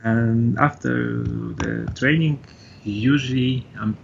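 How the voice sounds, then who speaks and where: A young man from Poland with a Polish accent, chatting as an interview guest, halting and full of fillers. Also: mid-range voice, vocal fry, muffled recording, a little hiss or hum. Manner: halting, uses many fillers like "like". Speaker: guest, gym member. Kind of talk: casual interview chat.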